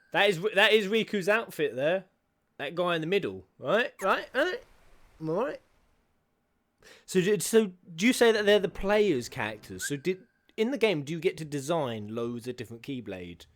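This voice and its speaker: A man hosting a reaction video, excitable and swearing, shouting at the screen and at times dropping to a whisper. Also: on the high side, normal speed. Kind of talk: live reaction commentary. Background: game cutscene audio and music.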